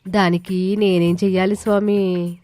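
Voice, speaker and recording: A woman voicing earnest, trusting village women in an animated moral story. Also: fairly low pitch, measured pace, close to an everyday voice. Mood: pleading. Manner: earnest, deferential. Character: troubled, trusting village women seeking a holy man's help.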